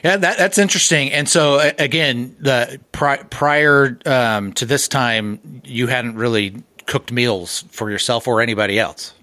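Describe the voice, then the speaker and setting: A man's voice: mid-range voice, unhurried, hardly any pauses, bright, forceful tone, no room sound. Dry-sounding male podcast host. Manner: friendly, affirming, prompts with questions. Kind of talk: casual interview.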